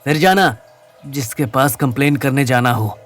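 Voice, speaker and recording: A man's voice: medium pitch, animated, moderate pace, fairly bright tone, natural voice. A man voicing a cruel, crude young gang-leader bully in an audio story, threatening and taunting. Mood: menacing. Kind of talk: Hindi audio story character acting.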